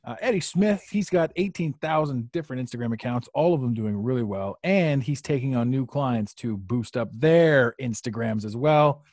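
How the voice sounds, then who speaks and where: A man hosting a live podcast, talking casually and rambling, repeating phrases and filling pauses with "uh.". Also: mid-range voice, expressive, darkish tone, dry recording, clean studio sound. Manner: rambling, repeats himself, many fillers. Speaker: podcast host. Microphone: podcast mic on a live stream.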